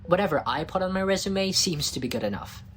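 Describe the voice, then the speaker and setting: A man's voice: sits high, lively intonation, fast, fairly bright tone, a little hiss or hum. A man playing a tiny, cocky, bumbling scientist in a comedic audio roleplay, smug and flirtatious with a flustered stammer. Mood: defensive, smug.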